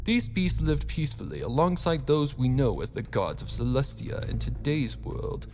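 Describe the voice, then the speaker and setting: A man voicing a calm, gloating villain doctor in a story reading, with a smug, theatrical, condescending manner. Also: mid-range voice, moderate pace, faint music behind, voice pushed up.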